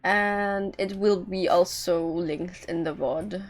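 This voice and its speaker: A woman's voice: fairly low pitch, steady tone, takes it slowly. A woman giving casual live commentary as she streams a game, blunt and sweary.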